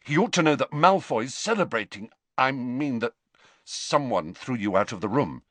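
A man's voice: medium pitch, animated, moderate pace, a bit bright, no room sound, voice pushed up. A man voicing an earnest teenage boy in a storybook, urgent, blunt and impatient.

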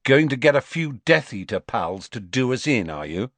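A man voices a defiant teenage boy, mocking and bold, a little above his own pitch. His pitch swings widely and the room is dry. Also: very animated, normal speed, fairly bright tone, no room sound. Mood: taunting. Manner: mocking, taunting.

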